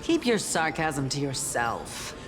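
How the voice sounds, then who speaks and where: Lowish-voiced, haughty game sage. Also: female voice, big pitch swings, slow pace, over music, full character voice. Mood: indignant, haughty. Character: proud, sharp-tongued female sage, poetic and averse to exertion. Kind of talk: English video game voice acting.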